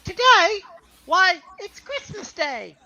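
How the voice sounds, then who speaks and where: Lady doing a polite little street boy, really high bright voice, swoops around, radio play reading. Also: sing-song, slow, no room sound, full character voice, rough recording. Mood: eager. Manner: chirpy, deferential. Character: eager, polite young English street boy.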